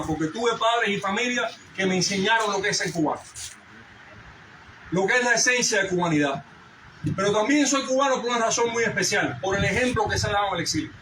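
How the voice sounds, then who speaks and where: Middle-aged man from Cuba, fervent orator; bright voice, faint noise behind. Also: high voice, reverberant, far from the mic, low-quality recording. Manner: fervent, rising to shouts. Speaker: speaker at a commemoration. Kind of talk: impassioned patriotic speech. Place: outdoor garden event.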